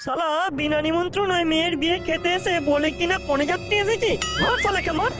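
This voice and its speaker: Woman voicing a very high, hot-tempered cartoon guy. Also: fast. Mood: aggressive. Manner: crude, slangy, confrontational. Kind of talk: exaggerated comedy cartoon dialogue. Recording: loud background music. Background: loud music.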